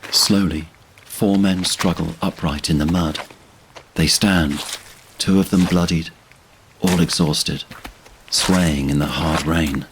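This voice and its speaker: A man's voice: low-pitched, steady tone, slow pace, pauses often, background noise. A man giving solemn scripted narration for a fantasy audio drama. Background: orchestral string music.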